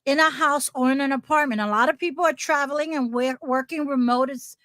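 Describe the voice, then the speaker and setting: A woman with a New Jersey accent hosting a casual live chat, animated and laughing often as she reads out viewer questions. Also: high voice, a little fast, dry recording, clean studio sound. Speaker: livestream host.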